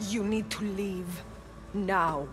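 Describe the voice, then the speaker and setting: Ancient Greek priestess-seer for a game, female actor: theatrical, intense, very unhurried, light score underneath. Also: mid-range voice, over music, lightly acted. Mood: fearful warning. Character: solemn, fearful female oracle of ancient Greece. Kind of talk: dramatic video game acting.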